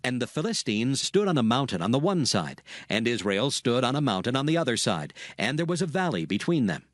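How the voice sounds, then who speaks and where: A man's voice: mid-range voice, very animated, fairly quick, dry recording, studio quality. A man narrating scripture as a scripted dramatic audiobook.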